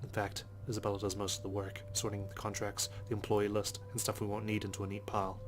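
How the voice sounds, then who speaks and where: A man's voice: lowish voice, monotone, fast. A man reading a visual novel's narration aloud as a let's play host. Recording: game music behind the voice. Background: game music.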